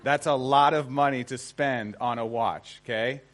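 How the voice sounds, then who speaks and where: A man's voice: medium pitch, steady tone, a little slow. Conversational American man teaching a seminar. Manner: conversational, emphatic, often checks in with listeners. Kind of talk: informal seminar lecture to an audience.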